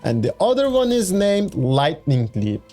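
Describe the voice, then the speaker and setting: A man's voice: a little high, huge pitch swings, measured pace, dark tone. A young man with a Turkish accent, a gaming YouTuber, chattily walking through a game build with fillers and restarts. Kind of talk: casual game build walkthrough. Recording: faint background music.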